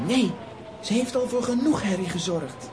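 A woman's voice: mid-range voice, lively intonation, moderate pace, noisy background, close to an everyday voice. A woman playing a plucky, determined young boy hero in a children's radio play, with a dramatic, exclamatory delivery. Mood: defiant.

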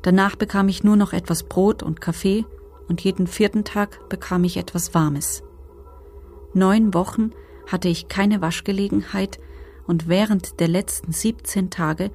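A woman playing a former camp prisoner who gives sober, measured testimony in a documentary radio drama. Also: mid-range voice, a little mellow, natural voice. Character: former female concentration camp prisoner giving grim testimony.

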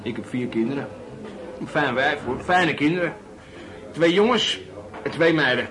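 An actor playing a talkative middle-aged working-class driver in a colloquial radio drama, speaking in a chatty, familiar way. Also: male voice, on the high side, animated, normal speed, crowd noise, natural voice. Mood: chatty, musing.

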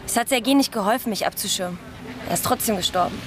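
An actress playing a defiant, quick-tempered young student in a noir radio play, sharp-tongued and indignant. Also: female voice, mid-range voice, background noise, close to an everyday voice.

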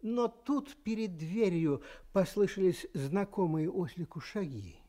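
A man's voice: high-pitched, expressive, a little slow, warm tone. A man narrating a fairy tale expressively as a storyteller.

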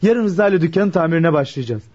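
Husky, lightish-voiced young cobbler. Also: male voice, on the high side, lively intonation, fast, a little mellow. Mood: cheerful, eager. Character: polite, dutiful grown son, a young cobbler. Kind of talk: radio drama acting. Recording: dull lo-fi radio recording.

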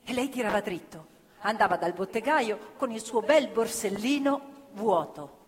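A woman telling a story on stage, earnest and measured. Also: mid-range voice, expressive. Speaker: stage actress narrator. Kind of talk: theatrical storytelling. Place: theatre stage. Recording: live theatre with long reverb. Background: live violin and piano.

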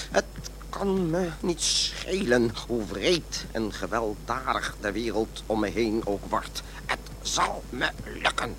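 A man's voice: medium pitch, low-quality recording. An irritable male patient in an audio drama, easily indignant and talking down to people. He is grumpy and rude, with a faint hum behind him.

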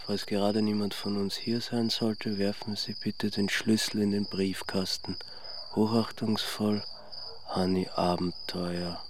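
A man acts out the reading of a formal letter in a radio play. His delivery is stiff and his voice fairly low, over clear background noise of insects. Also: flat delivery, unhurried, natural voice.

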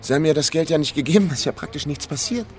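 A man's voice: fairly high pitch, animated, rapid-fire, darkish tone, natural voice. A man playing a wry, cool fixer who talks briefly and with understatement in a radio crime drama. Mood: resigned, dry.

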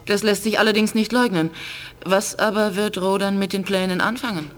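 Sci-fi drama alien woman, actress: proud, challenging, pointed, mid-pitched, lightly noisy recording. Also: steady tone, normal speed, lightly acted. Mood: sceptical. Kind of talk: 1980s German radio drama acting. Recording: faint background noise.

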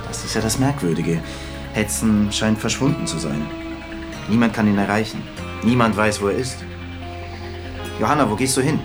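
An actor playing an ambitious, earnest television executive in a radio drama, with a pleading edge. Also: male voice, on the low side, moderate pace, pauses often, music in the background, natural voice. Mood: earnest, insistent.

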